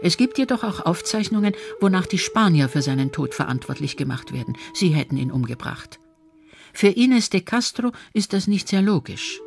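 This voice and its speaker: Documentary narrator, male, high register, scripted read, music underneath. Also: very animated, normal speed, creaky.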